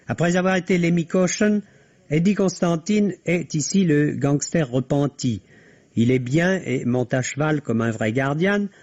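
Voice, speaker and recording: A dull, slightly muffled vintage radio recording carries a man. His voice sits a little high for a male. He reads a film review in formal, literary sentences, clearly scripted. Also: darkish tone. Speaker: film critic.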